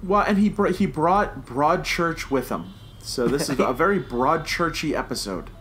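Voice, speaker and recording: A man's voice: fairly high pitch, expressive, normal speed, fairly bright tone, slight background noise. A man chatting casually as a podcast co-host, enthusiastic and jokey. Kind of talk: casual podcast review chat.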